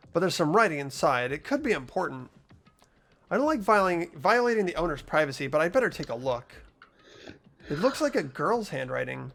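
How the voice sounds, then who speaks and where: A person reading visual novel narration and dialogue expressively, voicing an earnest, anxious high school student. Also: fairly bright tone. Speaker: player reading a video game's lead role aloud.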